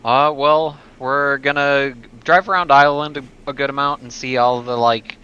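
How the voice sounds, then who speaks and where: A man's voice: mid-range voice, fairly even intonation, moderate pace, bright, forceful tone, clear, resonant tone. A man chatting casually as a player on a gaming show, laid-back and understated. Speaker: player on a tabletop roleplaying show. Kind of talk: casual chat. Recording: dull lo-fi audio, faint noise.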